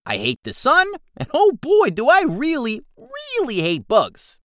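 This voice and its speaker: A man voicing a big, bald, complaining male goblin in a storybook reading, with a high, squeaky voice and a whining, rambling manner. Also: wildly swooping pitch, measured pace, fairly bright tone, dry recording, clean studio sound, heavily acted. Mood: whiny rambling. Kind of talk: audiobook character voice.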